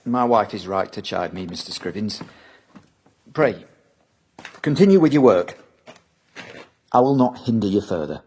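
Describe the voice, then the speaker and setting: An actor playing a pompous, fussy Victorian gentleman in a period audio drama, speaking formally and grandly. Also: on the low side, lively intonation, unhurried, pauses often, slight background noise. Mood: chastened.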